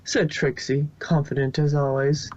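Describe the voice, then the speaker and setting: A man's voice: on the high side, little pitch movement, measured pace, darkish tone, no echo, muffled recording. A man narrating a fan fiction story in an amateur reading.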